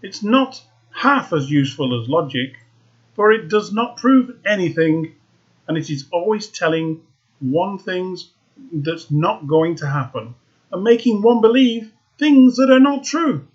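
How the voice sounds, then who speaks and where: A man's voice: high-pitched, measured pace, slightly rough, dull audio, exaggerated voice. A man doing a petulant young student. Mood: scornful. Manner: excited, then sulky and lecturing.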